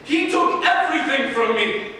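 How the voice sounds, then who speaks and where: A man's voice: very high voice, expressive, normal speed, bright, forceful tone, exaggerated voice. A man playing a gloating, bitter, vengeful villain in a staged play reading, with a mocking, theatrical delivery. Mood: furious, scornful. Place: large echoing room, staged reading. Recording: echoey hall from far, faint noise.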